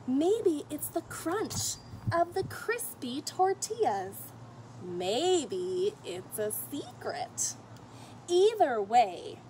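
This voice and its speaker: A middle-aged woman reading a picture book aloud to children in an expressive, emphatic way, recorded outdoors on a camera a short distance away. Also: high voice. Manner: expressive, emphatic, addressing children and characters directly. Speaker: children's story reader. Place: outdoors on a fenced patio or deck. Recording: outdoor camera audio with faint noise.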